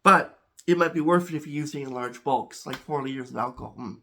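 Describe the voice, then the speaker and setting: A man hosting a home chemistry channel talks casually to camera, a little congested, halting with many restarts and repeated words. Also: medium pitch, gravelly, sharp tone, a little hiss or hum.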